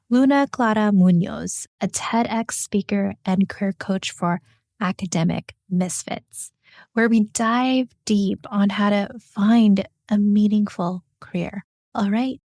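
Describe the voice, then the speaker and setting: A woman hosting a podcast interview, talking in an energetic, chatty way with quick asides. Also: medium pitch, no echo, studio quality. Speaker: podcast host and career coach.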